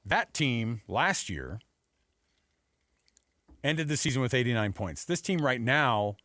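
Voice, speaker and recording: A man's voice: medium pitch, sing-song, takes it slowly, bright, forceful tone, dry recording. A man with an American accent co-hosting a sports radio show, analysing in an unscripted, emphatic, conversational way and repeating phrases for emphasis.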